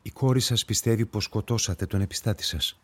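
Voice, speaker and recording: A man plays an awkward police officer in a radio play, with a fairly low voice. He is earnest and formal, choosing his words hesitantly. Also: natural voice. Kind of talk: radio drama acting.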